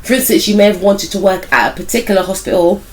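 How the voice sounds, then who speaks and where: A woman with a croaky voice, still recovering from a cold, giving a casual, rambling tarot reading and speaking straight to her viewers, with many hedges about what she feels. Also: mid-range voice, fast, a little mellow, slight background noise. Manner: speaks directly to viewers as "some of you". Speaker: tarot reader and spiritual life coach.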